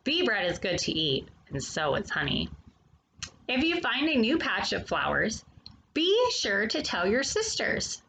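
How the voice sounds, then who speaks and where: A woman reading a children's nonfiction story aloud, addressing young listeners directly with questions and pauses. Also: medium pitch, big pitch swings, vocal fry, pushed and bright, a little hiss or hum, low-quality recording.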